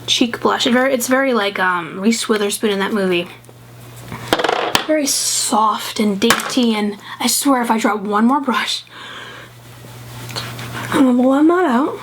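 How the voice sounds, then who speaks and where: A woman's voice: on the high side, unhurried, pauses often. A young woman vlogger chatting through her makeup routine, sniffly and congested, rambling and second-guessing herself as she talks. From American South. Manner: rambling and self-doubting, full of fillers. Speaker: beauty vlogger. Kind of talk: chatty get-ready-with-me makeup tutorial. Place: home, at a makeup table. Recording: noisy home recording.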